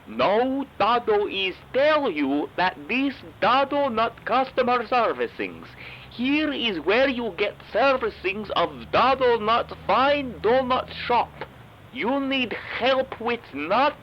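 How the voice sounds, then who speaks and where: A man's voice: very high voice, very animated, takes it slowly, lo-fi sound, a little hiss or hum, exaggerated voice. A man voicing an odd, cheerfully evasive donut salesman who speaks broken English and talks about himself in third person, in a comic audio drama. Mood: eager, helpful. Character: Dado, cheerful evasive shopkeeper of mysterious donut business. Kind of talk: comedic audio drama character acting.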